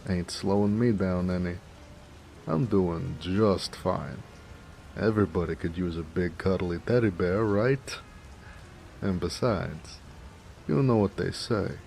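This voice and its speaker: Man acting a slow, very low-voiced American noir mobster. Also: vocal fry, darkish tone, slight background noise, exaggerated voice. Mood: playful. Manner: folksy, teasing, chatty, chuckling. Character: jovial, affectionate 1920s noir mobster, a big cuddly man. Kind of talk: noir romance audio roleplay, 1920s gangster slang. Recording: faint rain ambience behind voice. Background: rain.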